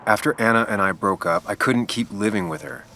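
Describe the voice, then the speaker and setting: A man's voice: medium pitch, lively intonation, fairly quick, vocal fry, bright, forceful tone, a little hiss or hum. A man playing a guarded, wry ex-journalist in a video game, speaking in a subdued, dry way. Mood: guarded, sombre. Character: brooding ex-journalist man back in his hometown, guarded, wry. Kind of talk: naturalistic video game acting.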